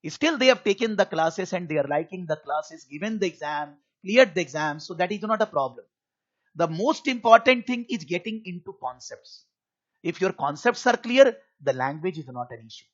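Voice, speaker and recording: A man's voice: high voice, animated, normal speed. A middle-aged man with an Indian English accent and Hindi as his first language, giving an online accounting tax class in an earnest, repetitive, reassuring way. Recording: muffled lo-fi recording.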